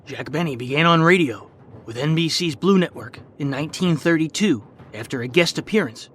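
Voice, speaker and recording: A man hosting a podcast with scripted documentary narration in a measured, clearly phrased announcer delivery. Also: on the high side, animated, a bit bright, a little hiss or hum. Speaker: podcast host.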